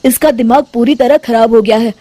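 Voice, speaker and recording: A woman's voice: a little high, steady tone, a little fast, warm tone, slight background noise, lightly acted. A woman voicing a harried, submissive young husband in a cartoon story, flustered and complaining in a theatrical way. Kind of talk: Hindi cartoon moral story acting.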